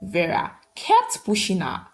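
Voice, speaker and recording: A woman's voice: mid-range voice, huge pitch swings, takes it slowly, vocal fry, a little hiss or hum. A woman narrating a scripted folktale as a storyteller, in dramatic short sentences.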